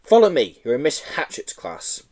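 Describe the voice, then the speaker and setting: A man's voice: mid-range voice, wildly swooping pitch, moderate pace, darkish tone, pitched above the performer's own voice. A man voicing a stern, bullying headmaster who gives curt commands in a children's storybook. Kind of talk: children's audiobook character reading.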